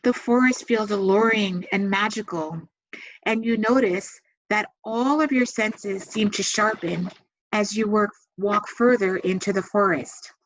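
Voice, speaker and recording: A woman leading a guided meditation, giving gentle, soothing instructions with long pauses. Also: medium pitch. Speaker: meditation guide and massage therapist. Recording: dull, muffled lo-fi sound.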